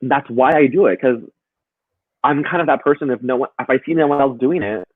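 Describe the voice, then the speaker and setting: A man's voice: medium pitch, brisk, slightly rough, dry recording. A man talking as a guest in a casual live chat over a remote call, earnest and emphatic, laughing often. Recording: tinny phone-like line, dry.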